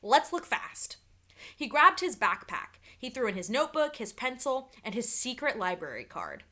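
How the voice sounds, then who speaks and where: A woman reads a children's story aloud in a teacherly, dramatic way. Her voice is bright and fairly high, with very lively intonation. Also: normal speed.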